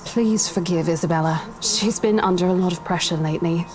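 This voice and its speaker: An actress playing an apologetic, professional estate agent in a visual novel, with a placating, businesslike manner. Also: female voice, on the low side, brisk, natural voice.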